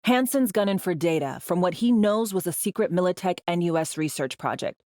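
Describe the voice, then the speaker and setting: A woman's voice: on the low side, lively intonation, brisk, a little mellow, no room sound, lightly acted. A woman acting a world-weary undercover agent in a video game, with a dry, sardonic, conversational delivery. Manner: dry, sardonic, slangy and clipped. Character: world-weary, wry female undercover spy in a dystopian city.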